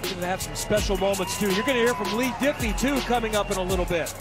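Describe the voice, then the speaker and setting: A man with a high-pitched voice hosts a sports broadcast. He speaks in a commentator's style, with loud music playing behind him. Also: quick pace.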